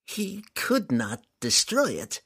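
A small demon servant whimpers and pleads in a high, quavering voice. The pitch leaps all over and the words come slowly and fearfully. There is a breathy, husky edge to the audiobook voice. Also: male voice, huge pitch swings, a bit bright, exaggerated voice. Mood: cowering. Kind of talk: audiobook character voice.

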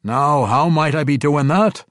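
A man's voice: on the high side, measured pace, a bit bright, no room sound, studio quality, pitched above the performer's own voice. A man as a blustering dwarf king. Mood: baffled. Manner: incredulous, challenging, rustic old dialect. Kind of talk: fantasy audiobook character voice.

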